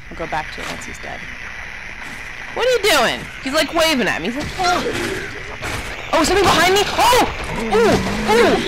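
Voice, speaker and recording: Slow-talking woman doing game commentary. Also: on the high side, vocal fry, heavy background noise. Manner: exclamatory, swearing, muttering asides to herself. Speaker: let's play gamer. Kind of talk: casual horror game commentary, reading game text aloud.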